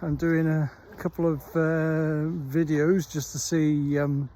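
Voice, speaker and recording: A man's voice: a little high, level tone, mellow. A middle-aged man with a Welsh accent, chatting casually and unhurriedly into a handheld phone while walking his dog outdoors. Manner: rambling, unhurried chat with frequent pauses. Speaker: vlogger. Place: outdoors on a countryside dog walk.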